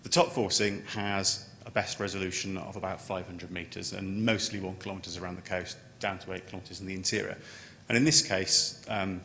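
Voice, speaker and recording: Big echoey room w some hiss and rumble, guy with gravelly voice doing a technical conference talk, stumbles and repeats words. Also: level tone, bright, forceful tone. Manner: halting, with stammered word repetitions. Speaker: scientist presenting at a conference. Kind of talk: technical conference presentation, unscripted. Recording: echoey hall, noticeable background noise, low rumble.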